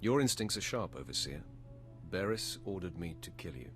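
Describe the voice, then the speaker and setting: Deep-voiced stoic Sith acolyte. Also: male voice, expressive, moderate pace, a bit bright, soft background music. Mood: stoic, resolute. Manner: terse, controlled, formal. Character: stoic, dutiful young male Sith acolyte. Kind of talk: scripted video game voice acting.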